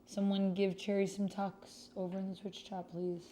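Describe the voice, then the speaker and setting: A woman's voice: mid-range voice, flat delivery, normal speed, dark tone, slight background noise. A woman hosting a livestreamed text roleplay game, chatting directly with viewers and reading posts aloud.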